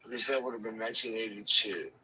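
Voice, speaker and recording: A man in his sixties hosting a live talk panel, speaking in a measured, deliberate way. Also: lowish voice, pushed and bright, a little hiss or hum, poor audio. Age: 60s. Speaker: host. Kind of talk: casual chat on a live talk show.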